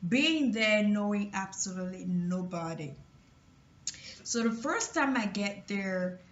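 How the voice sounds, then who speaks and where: Slow, halting woman with Cameroonian French accent. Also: medium pitch, a bit bright. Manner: halting, many restarts and fillers. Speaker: motivational vlogger. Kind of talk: personal motivational talk. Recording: roomy, distant, dull lo-fi sound.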